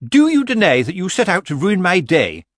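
A man voicing a prim, bossy society witch, a brittle and indignant woman with a shrill voice, in an audiobook story. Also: huge pitch swings, normal speed, breathy edge, dry recording, studio quality, exaggerated voice. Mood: shrieking rage.

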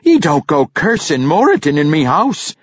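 Man voicing a scolding dwarf mother, pitched well up. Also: very animated, unhurried, slightly rough, no room sound, lo-fi sound. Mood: exasperated, indignant. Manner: exasperated, blunt, rustic speech. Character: scolding, proud warrior mother, a dwarf woman.

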